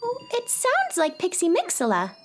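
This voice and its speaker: Woman playing a curious plucky girl, high-pitched, hugely animated intonation, wide-eyed, children's radio drama. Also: moderate pace, soft background music, full character voice. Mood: surprised, curious. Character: plucky young space-adventurer girl, curious and helpful. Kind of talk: children's audio drama acting.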